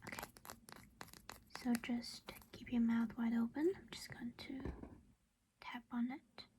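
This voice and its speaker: A woman playing a doting vampire mother in an ASMR roleplay, speaking in a breathy whisper, gentle and coaxing as if to a child, close to the microphone. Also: fairly high pitch, fairly even intonation, slow, a little hiss or hum. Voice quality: breathy whisper with soft creaky voiced patches.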